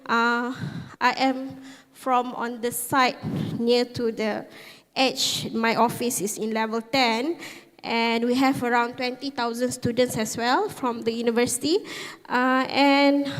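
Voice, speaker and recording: A woman from Malaysia giving a conference presentation, halting with many fillers. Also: fairly high pitch, fairly even intonation. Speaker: conference speaker. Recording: reverberant conference hall.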